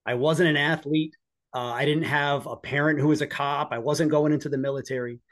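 A man's voice: fairly high pitch, steady tone, a little fast, a bit bright. A man in his forties with an American accent, telling personal stories conversationally as an interview guest. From northeastern United States. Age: 40s. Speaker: podcast guest, police officer and former EMT.

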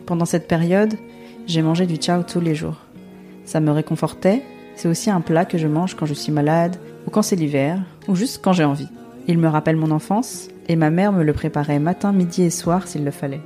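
A woman's voice: deep voice, normal speed, warm tone, soft background music. A woman from France hosting a podcast in a scripted, narrated style. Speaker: podcast host.

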